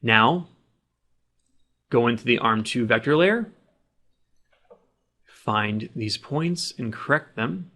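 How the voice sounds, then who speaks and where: A man narrating a software tutorial in a plain, instructional way, explaining each step as he does it. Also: medium pitch, expressive, takes it slowly, pauses often. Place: voice-over of a screen recording.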